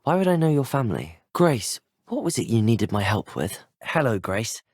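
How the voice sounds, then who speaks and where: A man's voice: mid-range voice, big pitch swings, moderate pace, darkish tone, husky, dry recording, studio quality. A young man with a British accent playing a polite, curious, brave teenage student wizard in a video game. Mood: polite, dry. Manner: polite, well-spoken, formal. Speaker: video game player character. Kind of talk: scripted video game dialogue.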